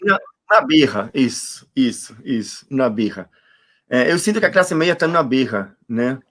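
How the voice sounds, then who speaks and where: A man's voice: mid-range voice, big pitch swings, moderate pace, fairly bright tone. A man, reflecting as a guest in an online conversation, searching for words and repeating them for emphasis. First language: Spanish. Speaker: interview guest. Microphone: video call.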